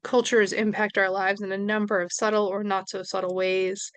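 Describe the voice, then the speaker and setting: A woman's voice: mid-range voice, flat delivery, normal speed, clean tone, dry recording. A woman from New York with a New York accent, giving a recorded social work lecture in a conversational way, with fillers and small self-corrections.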